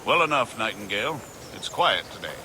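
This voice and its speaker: A man's voice: fairly low pitch, very animated, fairly quick. A man voicing a sheepish, hesitant gate guard in a medieval video game, evasive in manner. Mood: nervous, evasive. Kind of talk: dramatic game cutscene dialogue. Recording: clear background ambience noise.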